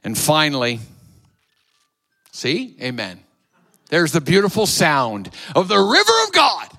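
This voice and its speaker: A man preaching a sermon to his church, with a loud voice that swells and drops, repeating phrases for emphasis. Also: fairly high pitch, wildly swooping pitch, measured pace. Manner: sings hymn lines softly, repeats phrases. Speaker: pastor.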